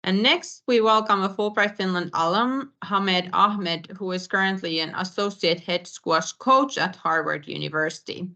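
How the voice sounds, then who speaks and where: A woman's voice: mid-range voice, normal speed, few pauses, bright, forceful tone. A woman moderating a webinar over a video call, introducing the next speaker briefly and politely.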